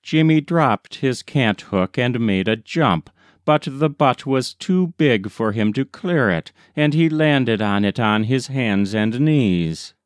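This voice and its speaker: A man reading a literary short story aloud as scripted narration, in a measured, deliberate storytelling manner. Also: mid-range voice, animated, smooth, no echo, studio quality. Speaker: audiobook reader.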